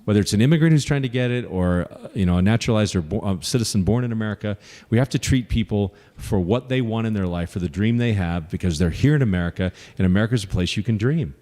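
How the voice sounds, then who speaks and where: Man, lowish voice, political candidate at a forum, echoey hall sound. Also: lively intonation, dark tone. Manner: earnest, lists points, pauses with fillers. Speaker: congressional candidate. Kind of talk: answering audience questions at a forum.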